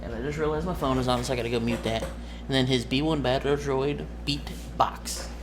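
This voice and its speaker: A man speaks at a middle pitch in a casual, unscripted vlog style as a tabletop game master. He rambles, hesitating and searching for the right word. Clear room noise runs behind him on an amateur recording. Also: fairly even intonation, brisk. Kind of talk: casual off-the-cuff vlog talk.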